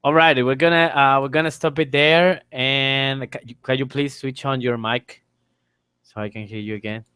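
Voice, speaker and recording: Male online tutor, mid-pitched voice, informal and explanatory, dry recording. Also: steady tone, normal speed, bright, forceful tone, clear, resonant tone, no echo. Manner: explanatory, repeats phrases and spells letters aloud. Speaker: English teacher, exam tutor. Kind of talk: informal online exam lesson. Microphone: online call microphone.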